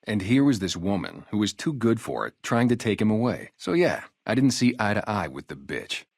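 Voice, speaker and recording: Game role: ex-gang sniper on guard duty, male, low register, lively inflection, casual street manner, guarded but loyal. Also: normal speed, no echo, clean studio sound. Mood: bitter, reflective. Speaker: security guard and former soldier. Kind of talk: video game character dialogue, naturalistic.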